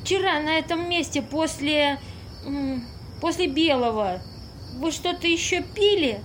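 Actress as an exasperated high-voiced woman. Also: measured pace, slight background noise. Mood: worried, probing. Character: exasperated, easily shocked woman minding a drunkard's experiment. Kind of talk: amateur audio play acting.

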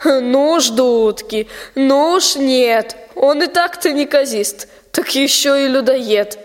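A female performer playing a defiant, haughty young princess in a rhymed radio play, with drawn-out disdain. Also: high voice. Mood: defiant, disdainful. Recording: long hall-like reverb.

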